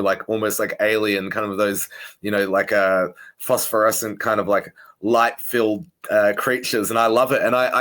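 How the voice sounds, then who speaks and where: A man hosts a relaxed interview. He talks with open enthusiasm, wandering through his thoughts and leaning on casual fillers as he goes. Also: on the low side. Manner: enthusiastic, rambling, many "like" fillers. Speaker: interview host.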